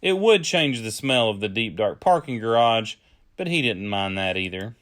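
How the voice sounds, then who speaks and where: A man's voice: lowish voice, animated, moderate pace, fairly bright tone. Man reading a children's storybook aloud. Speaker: storyteller, early childhood educator.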